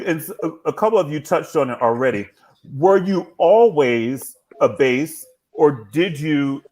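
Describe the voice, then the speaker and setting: A man hosting a panel, asking questions in a conversational, halting way over a video call. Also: medium pitch, a little hiss or hum. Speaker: host.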